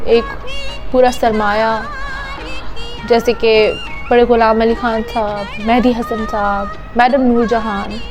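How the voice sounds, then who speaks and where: A sorrowful woman giving a heartfelt spoken tribute, halting as she searches for words and slipping in English phrases. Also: a little high. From Pakistan. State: grieving, sorrowful. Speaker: singer paying tribute.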